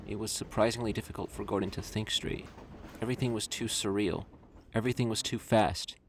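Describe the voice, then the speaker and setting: A man's voice: lowish voice, a little hiss or hum. A man reading literary scripted narration for an audio drama. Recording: loud music under the voice. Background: loud music.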